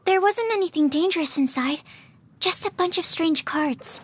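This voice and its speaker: Very high-voiced, shy young alchemist girl. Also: moderate pace, slight background noise. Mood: earnest, matter-of-fact. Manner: timid, apologetic, hesitant. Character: shy, nervous young female alchemist's assistant, earnest and bookish. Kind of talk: video game character acting. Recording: music playing behind the voice.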